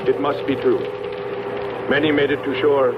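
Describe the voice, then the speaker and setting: Male actor as calm authoritative spaceship commander, mid-pitched, reasoning delivery, dull vintage film sound under heavy noise. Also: normal speed, natural voice. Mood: thoughtful. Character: level-headed male space expedition skipper. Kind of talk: 1960s science fiction film acting. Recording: muffled old film soundtrack, loud background noise.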